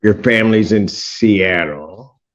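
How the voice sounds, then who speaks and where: A middle-aged man presiding as a judge in a courtroom hearing, joking and teasing, then brisk and firm. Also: on the low side, highs cut off.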